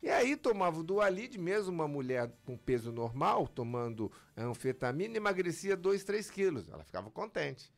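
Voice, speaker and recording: A man speaks as a doctor in an interview, rising and falling animatedly and acting out what his patients say. His voice has a gravelly edge, and quiet music plays behind him. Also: a little high, normal speed, hardly any pauses. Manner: lively, emphatic, acts out patients' lines. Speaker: physician guest. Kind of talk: explanatory interview talk.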